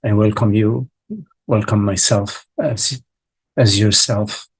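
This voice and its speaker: A man leading a guided meditation as a teacher, speaking in halting short phrases with long contemplative pauses and a husky voice. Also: fairly low pitch, steady tone, no room sound, rough recording. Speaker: meditation teacher leading a live online session.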